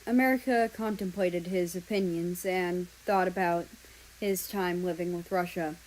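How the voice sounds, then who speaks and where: A woman reading fan fiction aloud as an expressive narrator. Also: fairly low pitch, unhurried, rough recording. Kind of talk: expressive storybook-style narration.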